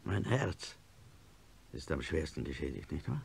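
An actor playing an ailing, stubborn male industrialist in a radio play, gravelly and husky, speaking with deliberate, sardonic insistence. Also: a bass voice, big pitch swings, a little hiss or hum, full character voice. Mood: insistent. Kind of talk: German radio play acting.

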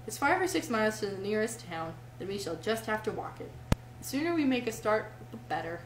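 A woman's voice: mid-range voice, very animated, fairly quick, roomy sound, a little hiss or hum, close to an everyday voice, poor audio. A woman voicing a fiery, practical male water rat in a storybook, speaking firmly and emphatically. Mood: grim. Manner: emphatic, indignant, firm.

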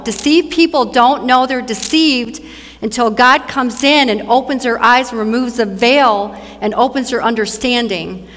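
A soft-voiced woman from northern India giving an earnest testimony sermon, repeating phrases for emphasis. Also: a little high. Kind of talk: sermon and personal testimony to an audience. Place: large church stage, indoors. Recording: long hall reverb.

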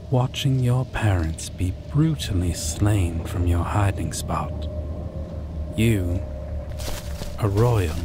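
A man narrating a scripted second-person story in an ASMR roleplay, deliberately, with dramatic pauses. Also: lowish voice. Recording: loud steady background noise.

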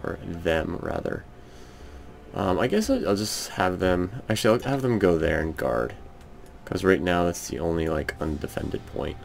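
A man with a raspy voice casually narrating a strategy game at home, thinking aloud hesitantly with many ums. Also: low voice, lively intonation, moderate pace, slight background noise. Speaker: let's play gamer. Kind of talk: casual gameplay commentary. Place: home room.

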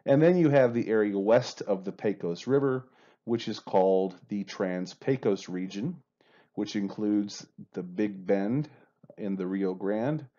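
A man with a Texan accent giving a measured history lecture over slides, explaining steadily with the odd "uh.". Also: sits low, mellow. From Texas, USA. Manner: measured, explanatory, with filler uhs. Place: voice-over over slides, room unseen. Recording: dull lo-fi voice-over.

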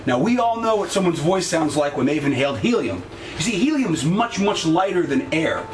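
A man's voice: a little high, big pitch swings, fairly quick, far from the mic, crowd noise. A man in his thirties presenting a science demonstration to camera with a showy, announcer-like delivery. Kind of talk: upbeat scripted demonstration to camera. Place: open garage, standing back from camera. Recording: echoey garage, music behind.

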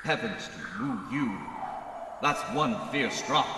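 Guy acting wisecracking space adventure hero, old time radio style, regular guy pitch, noisy background. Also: expressive, slow pace. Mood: wry, casual. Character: plucky, wisecracking human male adventure hero.

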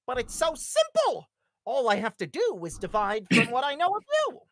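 A woman's voice: a little high, wildly swooping pitch, normal speed, a bit bright. A woman playing a smug, blustering male schemer in a live script read, theatrical and long-winded. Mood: smug reasoning.

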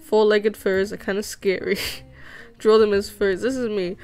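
A woman's voice: medium pitch, level tone, measured pace, a little mellow, clean tone, soft background music. A young woman whose first language was Vietnamese, chatting casually and rambling with lots of fillers and restarts while she animates at home. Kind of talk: casual chat. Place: home room.

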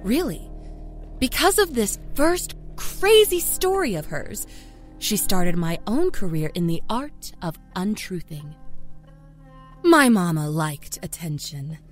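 A woman playing a sly, folksy small-town Southern woman, a self-confessed liar confiding her dark life story in a wry dramatic narration. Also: medium pitch, sing-song, slow pace, faint music behind. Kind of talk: dramatic first-person horror story narration. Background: musical score.

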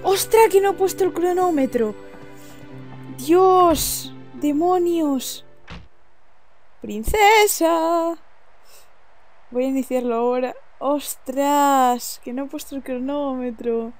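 A woman's voice: high voice, measured pace, a little mellow. A young woman with an accent from Spain, doing casual, self-deprecating gameplay commentary with informal swearing. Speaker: gaming video host. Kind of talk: casual gameplay commentary, reading game dialogue aloud. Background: game music.

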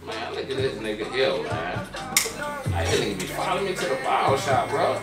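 A man's voice: medium pitch, sing-song, fairly quick. Trash-talking young man in an echoey barbershop. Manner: trash-talking, profane, laughing often. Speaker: customer in a barbershop sketch. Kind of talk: scripted comedy banter. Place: barbershop, indoors. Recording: echoey room, camera mic from a distance, music underneath.

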